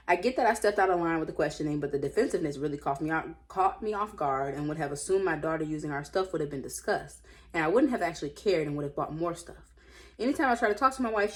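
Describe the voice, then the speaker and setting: A woman reading stories aloud casually, breaking off for playful, slangy, off-the-cuff reactions. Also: lowish voice. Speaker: host reading Reddit stories. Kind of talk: casual read-aloud with chatty off-the-cuff commentary.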